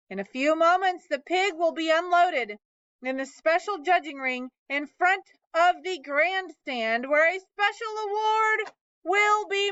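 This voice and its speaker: A woman voicing a pompous fair loudspeaker announcer who booms out slow, grand announcements. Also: no room sound, heavily acted. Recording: dull lo-fi dry recording.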